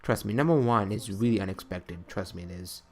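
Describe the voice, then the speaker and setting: A man's voice: lowish voice, fairly quick, soft background music. Enthusiastic, rambling man doing fan commentary. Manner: enthusiastic, gushing, rambling, emphatic. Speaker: video creator presenting a top-five list.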